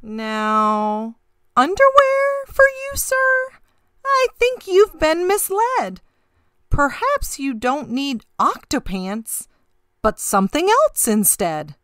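A woman's voice: sits high, very animated, slow pace, a bit bright, no room sound, clean studio sound, full character voice. A woman voicing an eager, polite male seahorse shopkeeper with showy sales patter in a rhyming picture book. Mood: surprised, advising.

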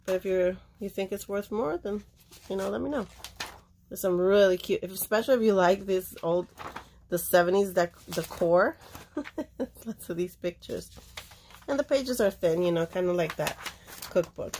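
A woman's voice: medium pitch, moderate pace, pauses often, a little mellow, a little hiss or hum. A woman casually showing old books for sale, chatting and rambling as she flips through pages. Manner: chatty, rambling, trails off mid-sentence. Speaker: seller showing books for auction. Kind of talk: casual show-and-tell. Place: indoors at a table of books.

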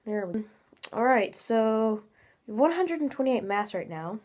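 A woman's voice: fairly high pitch, very animated, moderate pace, a little mellow. A teenage girl chatting casually while playing a game, a little nasal from congestion, talking from a small room at home into a webcam. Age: teens. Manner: chatty, rambling, many fillers. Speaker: gaming channel host. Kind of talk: casual gameplay commentary.

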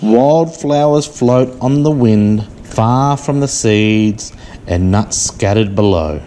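Very low, mellow woman reading a picture book. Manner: measured storybook phrasing, asking simple questions. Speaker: parent reading aloud to a small child. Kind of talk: picture-book reading mixed with casual chat to a child. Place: living room indoors. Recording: room noise behind a home camera recording.

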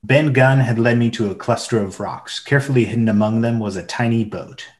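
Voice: mid-range voice, a little fast, close to an everyday voice. An actor playing a narrator who recounts a boyhood sea adventure in scripted first-person narration.

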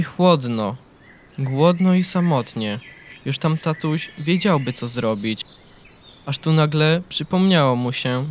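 A man's voice: medium pitch, slight background noise. A man reads scripted narration for a children's audio story.

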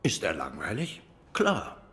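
A man's voice: on the low side, huge pitch swings, measured pace, fairly bright tone, heavily acted. An actor playing a bored, self-righteous dog policeman who rambles insistently in a noir video game. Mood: defensive, animated.

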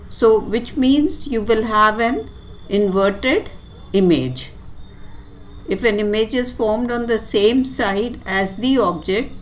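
A woman teacher giving a step-by-step tutorial explanation in a deliberate, instructive way with short, pausing phrases. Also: mid-range voice, slight background noise. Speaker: physics teacher. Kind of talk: step-by-step tutorial explanation over handwritten working.

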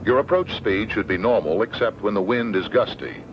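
Low-voiced American training film narrator, male. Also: lively intonation. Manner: measured, matter-of-fact, formal. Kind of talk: scripted instructional narration, 1970s training film. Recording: dull, noisy old 16mm film soundtrack.

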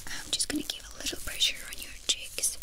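A young woman in a gentle, breathy whisper, giving reassuring instructions as a doctor in an ASMR medical exam roleplay. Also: brisk. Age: young adult. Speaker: ASMR performer playing a doctor. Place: small indoor room dressed as a clinic. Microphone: close microphone in front of the speaker.

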